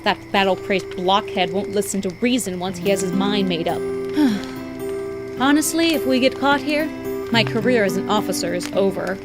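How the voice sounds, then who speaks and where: Lady doing a prickly young female officer, blunt and proud, mid pitch, visual novel acting, loud music under it. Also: normal speed, natural voice. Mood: exasperated.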